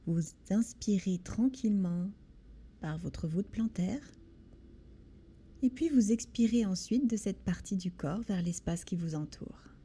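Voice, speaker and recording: A woman leads a guided body scan meditation in a soothing, gentle manner, with deliberate pauses between short phrases. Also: mid-range voice. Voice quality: soft, breathy. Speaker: guided relaxation instructor.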